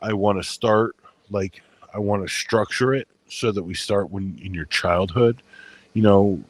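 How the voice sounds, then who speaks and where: A man's voice: on the low side, a little slow, no room sound. A man hosting a casual chat with friends, rambling through stories with lots of fillers and swearing, in a soothing voice. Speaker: channel host.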